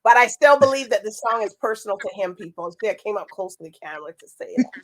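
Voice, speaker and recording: A woman's voice: mid-range voice, lively intonation, fast, low-quality recording. A woman hosting a casual interview, talking in a playful, teasing way with frequent laughter. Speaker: show host interviewing a singer. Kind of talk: casual chat.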